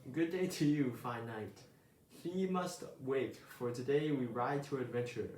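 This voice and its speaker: A man voicing an eager young female bird who chatters with excited questions. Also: mid-range voice, lightly acted. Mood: grand. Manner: bubbly rapid questions. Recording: roomy echo.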